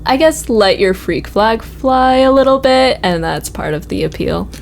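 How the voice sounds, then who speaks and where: A woman's voice: fairly high pitch, lively intonation, a little slow. Agreeable American woman in casual chat. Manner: informal, agreeable, frequent affirmations. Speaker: podcast guest, college administrator. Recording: clearly noisy room ambience.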